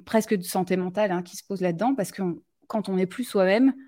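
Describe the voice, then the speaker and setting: A woman's voice: mid-range voice, quick pace, dark tone. A woman hosting a live talk, speaking directly and plainly, often restarting her sentences. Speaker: live show host. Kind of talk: casual live discussion.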